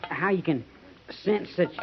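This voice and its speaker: An actor playing an earnest, trusting young American cavalry trooper in a folksy 1950s radio western. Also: male voice, high voice, takes it slowly, crowd noise. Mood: admiring. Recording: dull, noisy 1950s radio transcription.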